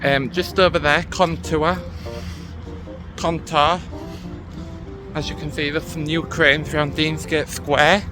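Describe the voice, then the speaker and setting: A man's voice: on the high side, little pitch movement, takes it slowly, long pauses. A man with a cold, chatting casually as he walks outdoors, saying um often. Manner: chatty, enthusiastic, filler-heavy. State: has a cold, sniffling. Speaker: vlogger. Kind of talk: casual walking vlog. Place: outdoors in a city park. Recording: music playing underneath.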